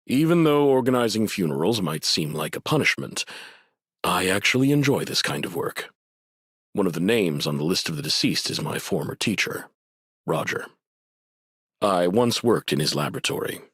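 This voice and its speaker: Deep-voiced, courteous academic official. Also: male voice, breathy edge, no echo, studio quality, heavily acted. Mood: calm, sincere. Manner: formal, measured, polite. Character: courteous, earnest male academic district administrator. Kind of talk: scripted anime game dialogue.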